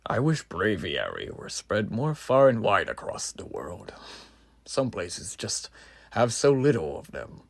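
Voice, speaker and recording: A man's voice: fairly low pitch, wildly swooping pitch, measured pace, creaky, no echo, heavily acted. A man playing an earnest, proud revolutionary army officer in a roleplay, speaking to the listener in a formal, rambling, stately way. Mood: proud, wistful. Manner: formal, rambling, theatrically stately, hushed asides. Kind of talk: roleplay voice acting addressed to the listener.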